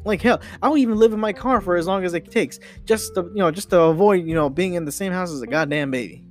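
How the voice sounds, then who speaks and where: A young man hosting a podcast in a rambling, opinionated monologue, halting and repeating phrases with emphatic, mildly sweary delivery. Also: sits high. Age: young adult. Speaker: podcast host. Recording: guitar music behind the voice. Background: guitar music.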